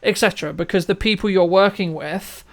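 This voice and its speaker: A man's voice: high-pitched, brisk. A man hosting a conversational business interview, speaking emphatically with rhetorical questions and frequent checks for agreement. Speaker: interview host and filmmaker.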